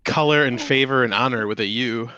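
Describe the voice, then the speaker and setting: A man's voice: medium pitch, a little fast, sharp tone, smooth, dry recording. Nerdy man explaining on a muffled call. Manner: nerdy, explains details, points out continuity errors. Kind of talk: casual group chat over a voice call. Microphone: home voice-call mic. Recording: muffled voice-chat audio.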